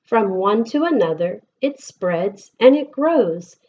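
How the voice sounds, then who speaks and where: American female storybook reader, very unhurried, expressive phrasing, slightly muffled lo-fi recording. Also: mid-range voice. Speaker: elementary school teacher reading aloud. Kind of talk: picture-book read-aloud for children.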